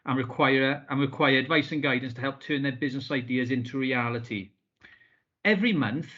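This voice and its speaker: A man's voice: mid-range voice, a bit bright. A man, a business support officer, giving a formal welcome to a webinar over a video call, with many ums and uhs. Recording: muffled video call audio.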